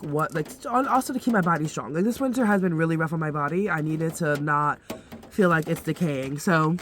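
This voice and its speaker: A young woman with a congested, stuffy nose rambles through her day in a loose, chatty way, full of fillers and restarts. Her voice sits a little low, and she's recorded inside her van with faint background noise. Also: fairly bright tone. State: stuffy nose from sinus pressure. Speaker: van life vlogger. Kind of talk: casual vlog chat to camera.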